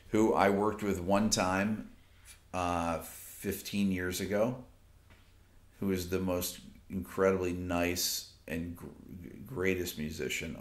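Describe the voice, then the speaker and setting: Low-voiced man in his fifties, laid-back livestream host, rambling answers with ums, roomy studio sound. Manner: relaxed, rambling, many fillers, reading viewer questions aloud. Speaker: YouTube music channel host. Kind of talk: casual late-night livestream chat reading comments. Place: home music studio with amplifier stacks. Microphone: off-camera mic, seated at desk with phone.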